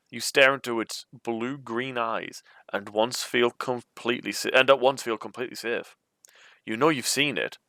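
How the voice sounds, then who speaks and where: A man's voice: mid-range voice, bright, forceful tone, no room sound. A man hosting a read-aloud of a gamebook, moving between storybook narration and informal, chatty commentary. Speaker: host reading a gamebook aloud.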